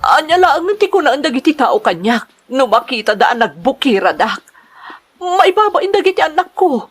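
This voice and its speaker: A woman's voice: sits high, big pitch swings, normal speed. A woman with an Ilocano accent playing a distressed, ashamed pregnant mother in a melodramatic drama, speaking hesitantly and plaintively. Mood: ashamed, tearful. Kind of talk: amateur melodramatic drama acting.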